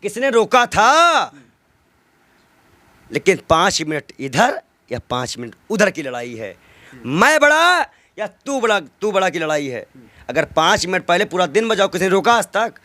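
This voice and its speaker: A man's voice: high voice, big pitch swings, a little slow, pushed and bright, no room sound. A man giving an impassioned political interview, arguing indignantly with rhetorical questions and emphatic repetition.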